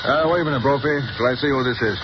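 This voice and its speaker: A man's voice: medium pitch, very fast, lightly acted. An actor playing a blustering, scheming male police commissioner in a 1930s radio drama, hesitating and stammering through his lines. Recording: muffled 1930s radio broadcast with hiss.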